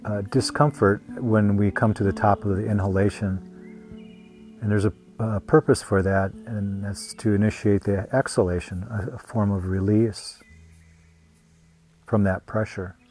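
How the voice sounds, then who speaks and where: A man leading a guided meditation as a teacher, speaking gently and unhurriedly with long pauses. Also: lowish voice, steady tone, faint music behind. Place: meditation retreat.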